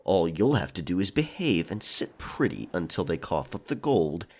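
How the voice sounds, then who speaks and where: Man acting a deep-voiced, menacing pirate quartermaster. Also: sing-song, moderate pace, a little mellow, slightly rough, heavily acted. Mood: matter-of-fact. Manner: brusque, threatening, controlled. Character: stern pirate quartermaster guarding a captive, menacing yet reasonable. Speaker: voice actor. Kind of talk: historical romance audio drama acting.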